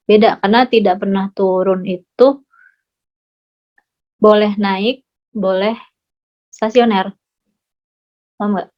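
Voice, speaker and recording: A woman talks a class through math problems in a relaxed, chatty way. She keeps checking whether everyone has understood. Her voice sits at a middling pitch, and the recording sounds a little dull and lo-fi. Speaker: math tutor. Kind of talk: casual tutoring walkthrough. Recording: slightly dull lo-fi audio.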